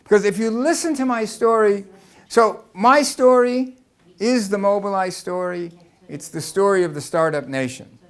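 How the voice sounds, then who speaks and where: Brief: American man, high-pitched, conversational lecturer asking rhetorical questions. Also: moderate pace. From Los Angeles, United States. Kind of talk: presentation talk to an audience.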